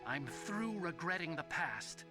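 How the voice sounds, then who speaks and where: A nerdy young scientist with a distinctly high male voice, earnest and slightly nervous yet determined. It sounds like a dramatic game cutscene, with music clearly behind him. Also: animated, a little slow. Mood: resolute. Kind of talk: dramatic video game voice acting.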